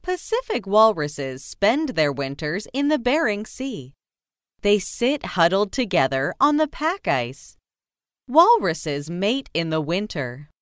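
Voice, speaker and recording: A woman narrating a children's nonfiction book as a scripted read-aloud, with careful, clearly separated phrasing. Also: medium pitch, wildly swooping pitch, takes it slowly, no echo, studio quality.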